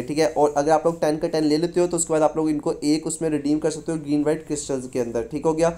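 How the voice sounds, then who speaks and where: A man's voice: on the high side, darkish tone. A young man giving a casual, chatty gaming update as a YouTuber in a voice-over.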